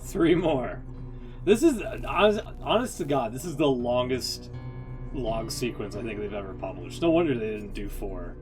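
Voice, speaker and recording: A man's voice: medium pitch, sing-song, normal speed, music in the background. A man streaming casual, off-the-cuff reactions to game stories in a sardonic, mock-exasperated manner.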